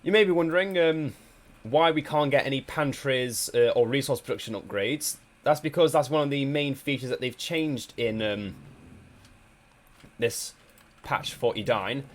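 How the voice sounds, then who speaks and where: A man's voice: mid-range voice, moderate pace. A man with a slight cold, casually commentating a strategy game with plenty of hesitations and restarts. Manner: hesitant, many ums and restarts. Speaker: gameplay commentator.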